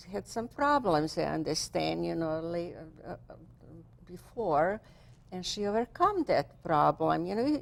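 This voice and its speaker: Older woman TV host, low gravelly voice, halting and chatty with lots of fillers. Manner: halting, many fillers and restarts, non-native phrasing. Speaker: TV show host. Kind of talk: interview on a cable fashion show.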